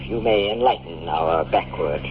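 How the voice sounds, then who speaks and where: An actor playing a smug, power-hungry villain in a 1950s radio drama, speaking with formal, condescending politeness. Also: male voice, sits low, a little slow. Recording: tinny, hissy old radio broadcast recording.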